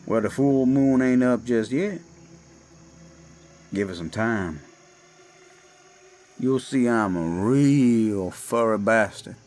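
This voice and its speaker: A man voicing a menacing Cajun werewolf of the swamps, with a Cajun accent and a slow, taunting drawl. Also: mid-range voice, darkish tone, lightly acted. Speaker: villain in audio drama. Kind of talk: horror audio roleplay. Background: faint eerie music and crickets.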